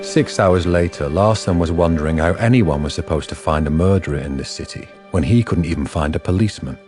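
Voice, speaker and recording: A man playing the measured storyteller of a detective radio drama, reading scripted narration. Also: deep voice, music in the background, lightly acted.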